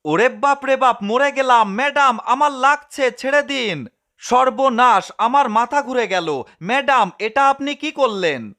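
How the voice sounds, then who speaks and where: A man's voice: animated, measured pace, exaggerated voice. A man voicing a greedy, mischievous cartoon cat in a comedy dub, with melodramatic pleading and gloating. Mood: distressed. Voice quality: high-pitched, sharp. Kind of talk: fan-dubbed cartoon comedy.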